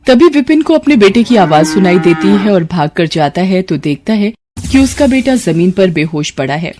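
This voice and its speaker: A woman's voice: medium pitch, sing-song, normal speed, music in the background. A woman narrating a scripted moral story as its storyteller. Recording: clear background noise.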